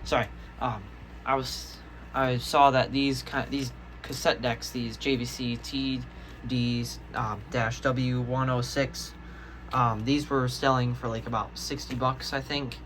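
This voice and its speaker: A man giving a casual show-and-tell vlog as a hobbyist, rambling and haltingly correcting himself as he goes. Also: mid-range voice, level tone, fairly bright tone, a little hiss or hum, poor audio. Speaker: hobbyist video maker. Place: indoors, room with audio gear.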